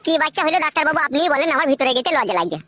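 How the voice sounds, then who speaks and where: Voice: sits high, very animated, very fast, pushed and bright, no echo, exaggerated voice. A performer voicing a resentful young married son in a comedy cartoon, complaining coarsely. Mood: sheepish. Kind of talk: village comedy cartoon dubbing. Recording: music behind the voice.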